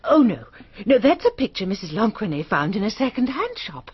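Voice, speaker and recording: Breathy, fluttery English spinster. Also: female voice, mid-range voice, huge pitch swings, fairly quick, highs cut off, exaggerated voice. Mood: earnest, fluttery. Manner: fluttery, effusive, hesitant. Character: nervous, chatty, genteel spinster lady's companion. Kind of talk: radio drama acting.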